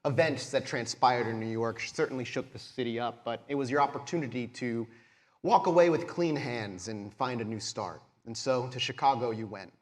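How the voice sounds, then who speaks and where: A male game master narrates at a live tabletop show. His voice sits in a middle range and he sets each scene with measured, descriptive detail. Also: medium pitch. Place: live convention show on stage.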